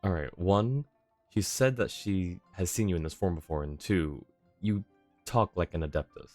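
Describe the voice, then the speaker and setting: Low-voiced woman streaming a game. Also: moderate pace, darkish tone. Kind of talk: casual game livestream commentary. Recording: game music behind the voice.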